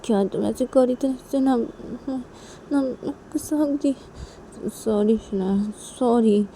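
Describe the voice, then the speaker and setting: A woman's voice: a little high, slow, slight background noise, pitched above the performer's own voice. A woman voicing a pleading, remorseful young girl. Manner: pleading, soft and coaxing. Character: remorseful girl begging her friend's forgiveness.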